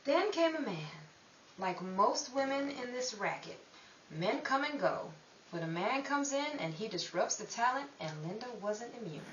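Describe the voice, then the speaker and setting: A woman's voice: fairly high pitch, very animated, normal speed. A woman playing a world-weary, streetwise narrator of a 1930s underworld tale, in dramatic old-time radio style. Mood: knowing, streetwise. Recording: echoey, distant mic, dull, faint hiss.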